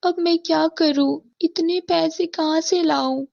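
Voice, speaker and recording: Earnest, high-voiced devoted wife played by a narrator. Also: female voice, steady tone, measured pace, vocal fry, a little mellow, no echo, highs cut off, exaggerated voice. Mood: worried. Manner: pleading, earnest. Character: devoted, determined poor young wife and mother. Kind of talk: animated moral-story voice acting.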